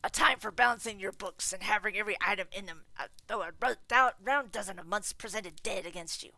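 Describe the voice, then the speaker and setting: A woman reading a storybook puts on a cross, miserly old man who snaps out his words loud and scornful. The voice carries a gravelly edge and a bright, hard tone, with an indignant bite to every line. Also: medium pitch, brisk, no room sound, pitched above the performer's own voice. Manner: gruff, clipped, indignant retorts.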